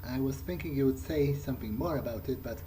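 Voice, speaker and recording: A man's voice: mid-range voice, fast, dark tone, slight background noise, lightly acted, low-quality recording. A man playing a polite, hesitant novice vampire in an improvised video game playthrough, thinking aloud as he goes. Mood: uncertain, inquisitive. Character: polite, hesitant young male vampire, new to undeath. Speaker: gamer role-playing his character.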